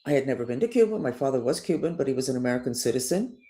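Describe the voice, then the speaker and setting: An older woman delivering a rambling, emphatic monologue, her pitch swinging widely up and down. She circles back on her points and presses hard on certain words, in the manner of a spiritual teacher talking straight to her viewers. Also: rapid-fire. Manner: digressive, emphatic, lively up-and-down delivery.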